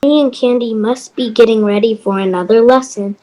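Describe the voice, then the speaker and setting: A woman reading a friendly, helpful neighbor in a fairy-tale readers theater, gentle and encouraging. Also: fairly high pitch, steady tone, a little fast, lightly acted. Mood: chatty.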